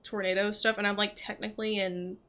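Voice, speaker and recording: A young American woman chats casually on a game stream. Her voice is bright and forward at a medium pitch, and she rambles loosely from one thought to the next. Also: flat delivery, a little fast. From southeastern United States. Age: young adult. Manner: chatty, rambling, loosely jumping between thoughts. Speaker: game livestreamer.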